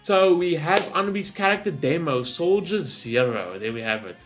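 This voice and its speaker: A man's voice: fairly high pitch, expressive, moderate pace, a bit bright, soft background music. A man hosting a gaming reaction video, talking casually off the cuff, thinking aloud and often checking himself with a tagged-on question. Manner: rambling, thinks aloud, often tags sentences with "right?".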